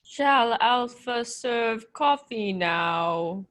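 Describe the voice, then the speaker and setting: Lady doing obedient robot butler for a radio play, super slow n stiff, kinda high. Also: lively intonation, fairly bright tone, full character voice. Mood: flat, obedient. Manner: stilted, mechanical delivery. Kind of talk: old radio play performance.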